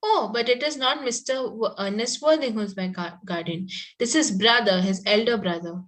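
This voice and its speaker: A spirited young country girl in a Victorian comedy, acted by a woman at a table read. The voice is on the high side. She is sweetly polite with a pointed edge, and she stumbles a little as she reads. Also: normal speed, close to an everyday voice. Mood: sweetly confiding. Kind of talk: amateur reading of a Victorian comedy play.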